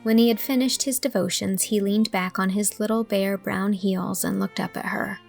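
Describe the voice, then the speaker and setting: Female storyteller for novel narration. Medium-pitched, slightly gravelly, measured and expressive, over soft music. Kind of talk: scripted audiobook narration with acted dialogue.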